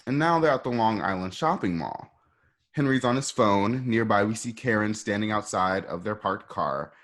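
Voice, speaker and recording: A man's voice: lowish voice, lively intonation, normal speed, fairly bright tone. A man reading out stage directions for a radio play in an informal, conversational way, with asides to the listeners. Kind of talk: live table-read narration of a screenplay.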